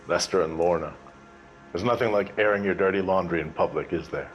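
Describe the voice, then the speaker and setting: An actor playing a guarded, world-weary bartender who answers a detective in short, wry lines. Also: male voice, low voice, a little fast, lo-fi sound, music in the background, close to an everyday voice.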